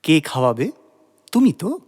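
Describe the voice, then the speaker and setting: An exasperated husband in a comic audio play. He sounds incredulous and grumbling, though soft-hearted underneath. He sputters, repeating words as indignant questions. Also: on the high side, wildly swooping pitch, normal speed, slightly rough, heavily acted. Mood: grudging. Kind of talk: comic audio drama acting.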